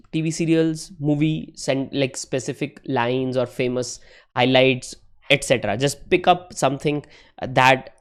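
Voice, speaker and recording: A young man giving a casual spoken tutorial, talking conversationally with frequent "right?" tags and self-corrections. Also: mid-range voice. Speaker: English-learning YouTuber.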